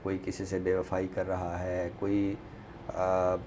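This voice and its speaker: Low-voiced Pakistani man, noisy recording. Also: monotone, mellow. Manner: deliberate, scholarly, with pauses mid-sentence. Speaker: literary show presenter. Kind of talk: book discussion in Urdu literary talk. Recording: noticeable background noise.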